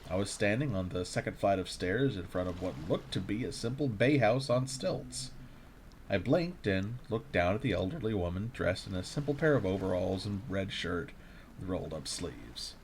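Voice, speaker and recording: A low male voice gives a shaken, confessional monologue as a delivery courier, acted out by a man in a horror audio drama. The telling is dramatic and eerie, like a personal account. Clear noise and ambience sit behind the voice. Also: expressive, moderate pace. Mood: bewildered, wary.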